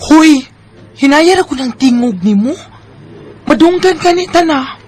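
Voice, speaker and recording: A woman acting as a feisty young Bisaya office worker in a radio drama. Her voice is high, and she speaks in emotional, exclamatory bursts, easily flustered and lovestruck. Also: lively intonation, unhurried, a little mellow. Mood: scolding, nervous. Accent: Filipino, Bisaya.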